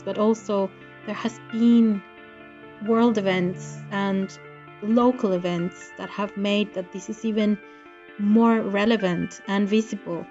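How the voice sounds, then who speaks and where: A woman's voice: mid-range voice, darkish tone, lo-fi sound. A middle-aged woman from Costa Rica, a curator, speaking passionately and frankly in an interview. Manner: passionate, outspoken. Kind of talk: interview talk.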